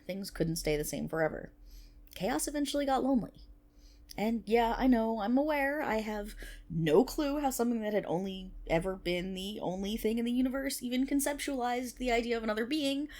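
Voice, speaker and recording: A woman's voice: fairly high pitch, darkish tone. A woman playing a nerdy, playful best friend in an ASMR roleplay, rambling casually to the listener with wry asides and self-interruptions.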